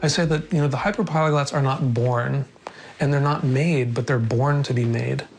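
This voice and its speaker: A man explains his research thoughtfully, in a middle-pitched voice. His speech is plain and considered, with light music playing under it. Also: steady tone, moderate pace, creaky. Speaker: author interviewed. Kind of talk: interview answers.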